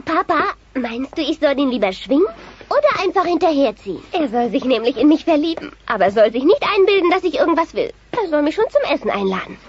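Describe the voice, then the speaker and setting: An excitable teenage dinosaur girl in a comedy audio play. Her voice is very high and girlish, with lively swings in melody and a cheeky, boy-crazy temper. It sounds like a dull tape transfer with some hiss. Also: fast talker. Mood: eager. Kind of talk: German audio play dub of comedy series.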